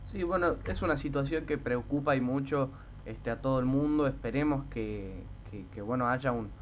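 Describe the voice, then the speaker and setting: A man's voice: mid-range voice, normal speed, lo-fi sound, a little hiss or hum. A man interviewing conversationally on the radio, hesitantly feeling his way through his questions.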